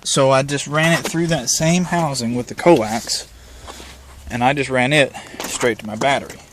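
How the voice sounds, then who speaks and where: A man with a medium-pitched voice presents a casual how-to demonstration. He talks in plain, colloquial language, explaining each step as he goes without a script. The recording carries faint background noise. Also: normal speed, a bit bright. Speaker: presenter of a hands-on installation guide.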